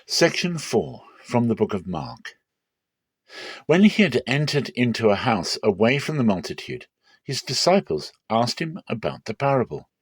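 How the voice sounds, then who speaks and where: A man narrating a dramatic Bible reading in a measured, solemn, clearly phrased manner. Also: mid-range voice, sing-song, no echo.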